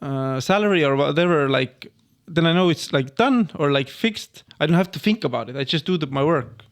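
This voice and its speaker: Man, podcast host, fairly high voice, halting, lots of fillers. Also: animated, gravelly. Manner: halting, filler-heavy phrasing with many "like"s. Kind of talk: casual chat. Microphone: studio podcast microphone.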